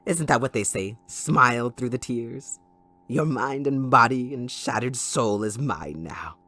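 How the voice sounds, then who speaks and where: A man playing a sadistic, possessive supervillain kidnapper in an audio roleplay, taunting theatrically and mock-sweetly, moving between hushed menace and outbursts. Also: mid-range voice, unhurried. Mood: gloating, menacing. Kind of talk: dramatic audio roleplay monologue.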